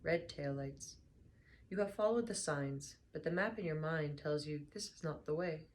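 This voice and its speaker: A young woman with a hoarse, slightly lost voice, sounding tired, chatting casually and then reading a book aloud softly. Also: low-pitched, a little hiss or hum. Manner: tired, soft and unhurried. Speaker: book reader vlogger.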